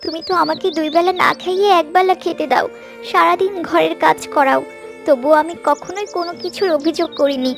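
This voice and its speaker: A gentle, dutiful young daughter from a fairy-tale cartoon. The voice is high and earnest, with the pleading manner of someone long mistreated. Light music sits behind it. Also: female voice, steady tone, a little fast, creaky.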